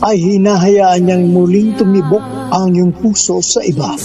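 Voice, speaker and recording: A man's voice: sits high, fairly even intonation, normal speed. A man hosting a radio drama, reading sentimental scripted narration in a solemn, wistful way. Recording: dull lo-fi radio sound with music.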